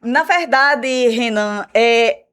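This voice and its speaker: A woman with a Brazilian Portuguese accent, an English teacher explaining her school's program in a radio interview, measured and full of "né?" tags. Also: on the high side, fairly bright tone, clear, resonant tone. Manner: measured, explanatory, repeats words with "né?" fillers.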